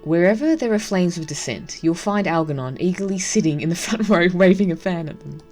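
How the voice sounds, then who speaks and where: A woman's voice: fairly low pitch, expressive, brisk, darkish tone, soft background music. A woman reading a game's narration aloud with casual commentary, wry and amused. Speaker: game streamer.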